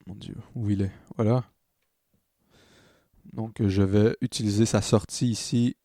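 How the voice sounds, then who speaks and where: A man narrating a step-by-step software tutorial as a tutor, hesitant and thinking aloud as he demonstrates. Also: lowish voice, fairly even intonation, a little slow, dry recording, clean studio sound.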